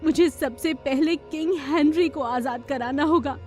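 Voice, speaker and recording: Lady doing brave fairy queen mom for kids cartoon, high commanding voice, faint music. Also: measured pace, a little mellow. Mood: resolute. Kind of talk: children's cartoon story acting.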